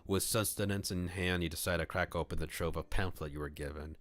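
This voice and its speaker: A man on a livestream reading a visual novel aloud, mixing scripted narration with casual asides where he thinks out loud. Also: deep voice. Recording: music playing behind the voice. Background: clear background music.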